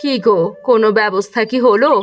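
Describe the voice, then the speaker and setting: A woman's voice: on the high side, brisk, close to an everyday voice. A woman voicing a practical, concerned village wife and mother in a cartoon. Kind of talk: Bengali moral-story cartoon dubbing. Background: background music.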